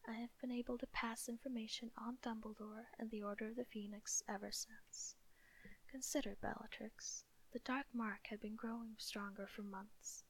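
A woman whispering the lines of a cold, sardonic male wizard who speaks smoothly and deliberately, as in a quiet audiobook reading. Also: a little high, rough recording. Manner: whispered throughout.

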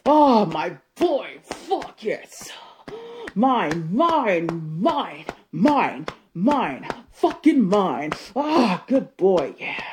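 Woman voicing a controlling wife: low, mellow and sultry, whispery. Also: lively intonation, takes it slowly, gravelly, slight background noise, full character voice. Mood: tender, soothing. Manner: sultry, slipping between whisper and loud. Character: possessive, domineering adult wife. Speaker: audio roleplay performer. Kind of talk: solo audio roleplay.

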